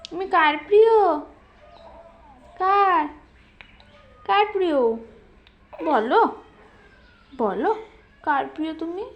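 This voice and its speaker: Very high, slow woman cooing baby talk. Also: expressive, lo-fi sound. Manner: cooing, sing-song, repeats short questions; teasing, mock scolding. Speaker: caregiver talking to a baby. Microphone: phone held while talking.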